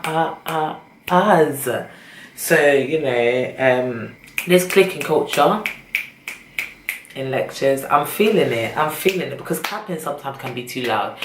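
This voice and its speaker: A young woman with a British accent, chatting casually and rambling to her camera. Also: extremely low pitch. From Britain. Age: young adult. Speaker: vlogger. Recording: echoey room with faint noise.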